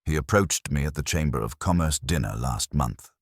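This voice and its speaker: Worried, protective husband played by a male reader, tight and concerned, deep, husky, mystery story. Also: expressive, normal speed, no echo, studio quality, full character voice. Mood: uneasy. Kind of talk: scripted mystery story dialogue.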